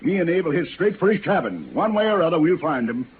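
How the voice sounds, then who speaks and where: An actor playing a hard, vengeful sheriff in a 1950s Western radio drama, gruff and scornful. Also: male voice, on the high side, big pitch swings, fast talker. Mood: scornful, stern. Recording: scratchy, noisy, narrow old radio recording.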